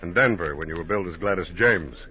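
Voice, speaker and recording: A man's voice: low voice, quick pace, bright, forceful tone, breathy edge, heavily acted. An actor playing a hard-boiled, unimpressed male detective in a 1950s radio crime drama, dry and insistent. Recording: dull vintage radio recording.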